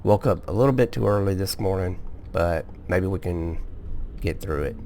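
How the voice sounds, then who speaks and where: A man hosting a casual gameplay video, sleepy and relaxed, explaining each step as he goes with small restarts. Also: deep voice, moderate pace, gravelly, a little hiss or hum. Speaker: gaming video host. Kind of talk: casual let's-play commentary.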